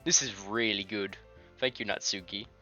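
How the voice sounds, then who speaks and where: A man's voice: lowish voice, fairly even intonation, normal speed, pushed and bright, dull audio. A British man reading a game aloud in a jokey way, stumbling over words and adding crude asides. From Britain. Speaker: gaming video host. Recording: music behind the voice. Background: game music.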